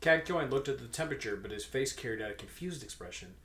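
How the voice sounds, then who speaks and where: Young man, medium pitch, comic narration, casual banter, roomy recording. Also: expressive, fast, fairly bright tone. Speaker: co-reader of fan fiction. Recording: echoey room.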